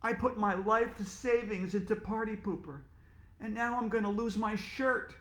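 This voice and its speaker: A man voicing a panicky adult male teacher who shouts in a raised voice. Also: high voice, normal speed, pitched above the performer's own voice. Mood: despairing. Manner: frantic, shouting. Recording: roomy echo.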